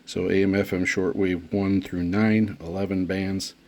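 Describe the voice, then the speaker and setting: A man giving unscripted unboxing commentary as a product reviewer, speaking haltingly with frequent pauses mid-phrase. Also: low voice, level tone, a little mellow.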